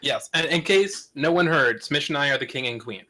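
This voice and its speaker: A man's voice: on the high side, expressive, a little fast, sharp tone. A man in casual, joking conversation with an online group, playful in manner. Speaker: co-leader of online film group.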